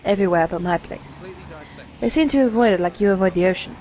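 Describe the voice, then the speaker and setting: A woman playing a kindly, weary widowed lady in an amateur radio play, with a measured, genteel delivery. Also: medium pitch, a little mellow, lightly acted, poor audio. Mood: calm, tired.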